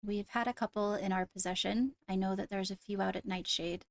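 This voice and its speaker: A woman playing a poised royal ruler in an improvised game roleplay, speaking formally and carefully with pauses to find words. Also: medium pitch, steady tone, vocal fry, dry recording, close to an everyday voice. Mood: composed, businesslike. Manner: measured, formal, hesitant between phrases. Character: poised female royal ruler addressing her court. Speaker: leader of the meeting.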